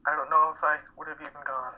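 A man playing a withdrawn, troubled college student in a play reading, halting, terse and guarded. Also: fairly high pitch, flat delivery, bright, forceful tone, poor audio. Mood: stunned grief. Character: withdrawn male college student, grieving his estranged father. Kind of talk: dramatic reading of a stage play.

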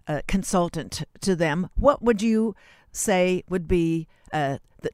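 Halting radio host in a dry studio. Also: mid-range voice, clear, resonant tone, dry recording. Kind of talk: unscripted live radio interview.

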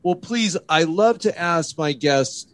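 A man's voice: a little high, animated, takes it slowly, no room sound. A man hosting a radio talk show, with an upbeat, emphatic announcer delivery as he interviews a guest.

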